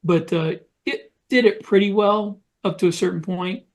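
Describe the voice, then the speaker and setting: A man with a high-pitched voice talks as a panelist in a casual podcast discussion. His delivery is hesitant, and he corrects himself as he goes. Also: moderate pace, lo-fi sound.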